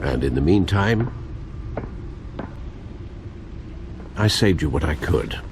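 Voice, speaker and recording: A man playing a loyal older butler in a video game, formal and gently sardonic in manner. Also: rumbling low, expressive, moderate pace, over music. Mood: concerned. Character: dry-witted loyal older butler to a rich vigilante. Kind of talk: dramatic video game voice acting.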